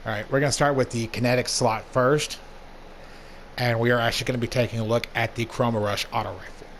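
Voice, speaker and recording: A young man with a hoarse voice from allergies, casually talking through a game review as the channel's host. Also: mid-range voice, fairly even intonation, fast, a bit bright, slight background noise. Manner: laid-back, plain-spoken, rambling asides. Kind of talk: casual gameplay commentary.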